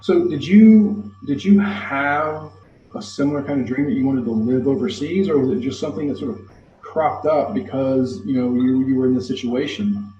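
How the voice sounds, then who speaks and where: A man's voice: medium pitch, moderate pace, mellow. A man in his fifties interviewing conversationally, sharing his own experience as he leads into questions, on a muffled recording. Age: 50s. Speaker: interviewer, host. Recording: muffled, roomy sound with background noise.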